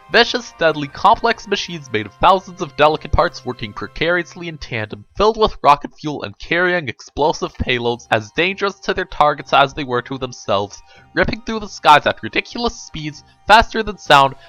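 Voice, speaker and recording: Male narrator, theatrical storybook reading, swinging pitch, dull lo-fi mic, music underneath. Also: bright, forceful tone. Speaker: narrator reading a book aloud. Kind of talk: dramatic reading of a comedic story.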